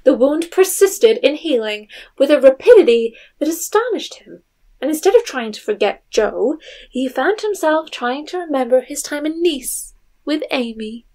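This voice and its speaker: A woman's voice: high-pitched, lively intonation, moderate pace, mellow. A woman narrating a literary audio drama in a scripted storytelling style.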